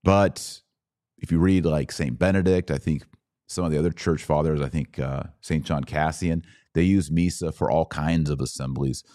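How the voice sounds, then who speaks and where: A man's voice: rumbling low, steady tone, moderate pace, dark tone, dry recording, clean studio sound. A man hosting a religious podcast, explaining things in a conversational, didactic way with emphatic restatements. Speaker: podcast host.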